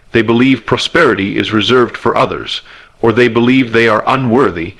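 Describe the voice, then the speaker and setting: A man with a husky, slightly rough voice at a medium pitch gives a scripted motivational speech. His delivery is solemn and emphatic, built on measured rhetorical phrases. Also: a bit bright, poor audio. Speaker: motivational speaker.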